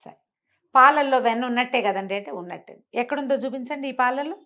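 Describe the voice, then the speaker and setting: A woman's voice: sits high, fast talker, bright, forceful tone. A woman storyteller. She narrates a moral fable expressively, acting out the exchanges and putting questions to her listener as she explains.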